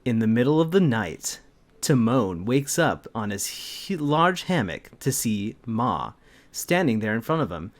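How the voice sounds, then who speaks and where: A man with a medium-pitched voice reads aloud slowly and casually. His intonation swings widely, with a mock-grand, amused manner. He often steps out of the text for comic commentary. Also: big pitch swings. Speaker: host of a comedic dramatic fanfiction reading. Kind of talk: casual comedic reading with commentary.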